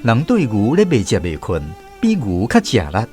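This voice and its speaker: A man's voice: on the low side, wildly swooping pitch, moderate pace, darkish tone, soft background music. A man with a Taiwanese accent narrating a scripted radio drama as a storyteller.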